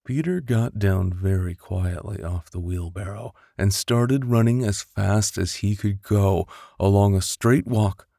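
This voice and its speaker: Male storybook narrator, expressive, theatrical, very slow delivery, creaky low register, close studio mic. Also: no room sound. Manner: theatrical, with whispers and sound-effect voices. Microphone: close studio condenser microphone.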